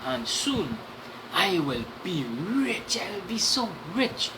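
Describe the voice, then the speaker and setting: A vain, greedy male spider from a storybook , acted out by a woman. He brags with great self-importance in slow, animated speech. The recording has clear background noise. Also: mid-range voice. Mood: grandly boasting.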